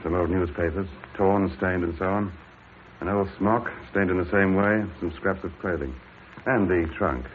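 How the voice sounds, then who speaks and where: Man playing a low-voiced, businesslike police inspector. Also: moderate pace, lightly acted. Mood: brisk, methodical. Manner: clipped, businesslike, dry. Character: composed, brisk, methodical British police inspector. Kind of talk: vintage radio drama acting. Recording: narrow-band old radio recording, faint noise.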